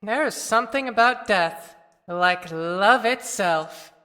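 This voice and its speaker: Guy doing tender old love poem as a departed spouse, lyrical, high voice, huge echo. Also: takes it slowly, sharp tone, full character voice. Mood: tender, serene. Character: tender, long-married lover reflecting serenely after death. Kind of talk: poetic monologue in an audio play. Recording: long cavernous reverb.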